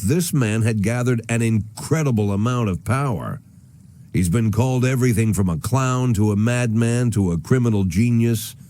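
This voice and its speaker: Aging American police chief, low mellow voice, world-weary, sardonic, noir game narration. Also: male voice. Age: older. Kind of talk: noir first-person narration in a video game.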